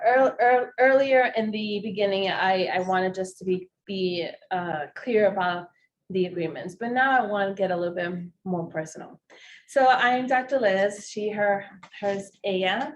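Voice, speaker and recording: A woman from Ecuador giving an informal welcome address, haltingly, repeating words as she gathers her thoughts. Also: mid-range voice, highs cut off, slight background noise. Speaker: event speaker, consultant.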